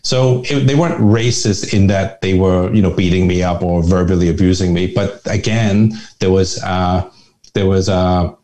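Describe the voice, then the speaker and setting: A man's voice: deep voice, normal speed, mellow. A man from Northern Ireland, a guest investor, telling his story in a reflective, conversational way and often repeating words while he thinks.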